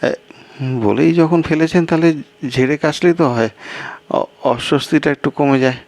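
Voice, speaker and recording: A man's voice: fairly high pitch, moderate pace, a little mellow, pitched above the performer's own voice, natural voice. A man voicing a weary, anxious, polite traveller in a storytelling piece, fretful, hesitant and plaintive. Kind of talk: acted storytelling dialogue.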